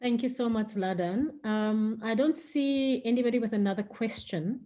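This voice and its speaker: Mellow-voiced woman moderating online. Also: fairly high pitch, normal speed. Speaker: moderator of panel discussion. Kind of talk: informal online event moderation. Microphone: video call.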